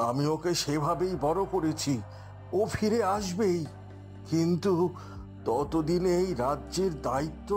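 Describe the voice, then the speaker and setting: A man playing a grave, resolute old grandfather king in an animated fairy tale. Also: on the high side, close to an everyday voice. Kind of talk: dramatic Bengali animated fairy tale acting.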